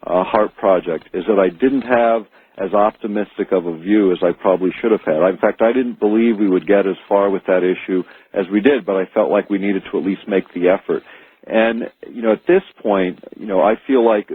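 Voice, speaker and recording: An American man speaking as an author guest in a radio interview, explaining earnestly and haltingly, repeating words as he goes. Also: on the low side, husky. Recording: narrow phone-like archive radio audio.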